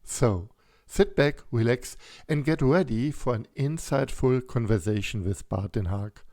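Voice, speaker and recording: A man hosting a podcast, reading an introduction in slow, careful English and asking questions. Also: medium pitch, a little mellow, dry recording. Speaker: podcast host. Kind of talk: scripted intro and interview questions. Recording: dry close mic with faint music.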